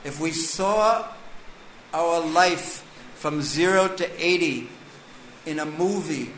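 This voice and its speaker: A man giving a scripture class, reading a passage and then explaining it slowly and deliberately. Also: fairly high pitch, a bit bright, roomy sound, slight background noise, rough recording. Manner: slow, deliberate, reads text then explains. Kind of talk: scripture lecture.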